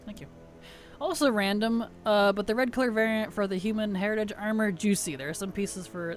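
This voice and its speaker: A woman game streamer chatting casually and playfully on a livestream, her tired voice starting to weaken and turn gravelly. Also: fairly low pitch. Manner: playful, teasing, chuckles often. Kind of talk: casual livestream chat over gameplay.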